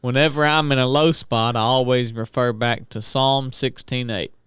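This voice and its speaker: Man, clear resonant voice, dry close sound; reads donor shout-outs for a chatty podcast. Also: mid-range voice, moderate pace, no room sound. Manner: reads out super chat names and amounts in a steady list. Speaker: podcast co-host reading donor messages. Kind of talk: casual podcast chat.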